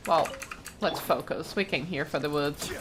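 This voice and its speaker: Lady doing a chill lets play, kinda low voice, thinking out loud, game music behind her. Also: normal speed. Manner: chatty, thinking aloud. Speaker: let's play host. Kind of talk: casual gameplay commentary. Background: game music, keyboard clicks.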